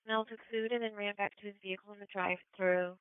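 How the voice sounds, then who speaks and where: A woman's voice: medium pitch, steady tone, quick pace, clean tone, dry recording. An American woman dispatching police units in procedural style over a narrow-band radio. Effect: police radio transmission.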